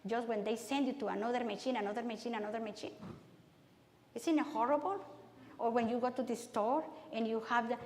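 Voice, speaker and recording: A woman's voice: on the high side, a little mellow, slight background noise. Spanish-accented woman in an echoey hall. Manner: conversational, halting, many restarts. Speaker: guest speaker. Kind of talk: speaking to a church audience. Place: church hall. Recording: echoey hall with long reverb.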